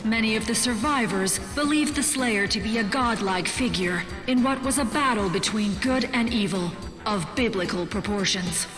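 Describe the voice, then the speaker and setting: A grave, formal resistance announcer from a video game, voiced by an actress, speaks in measured phrases. Her woman's voice sits at a medium pitch and rings with long reverb. Music plays underneath. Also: a bit bright, natural voice. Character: solemn resistance broadcaster announcing humanity's victory over demons. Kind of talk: scripted broadcast announcement. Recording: echoing broadcast with long reverb, music behind.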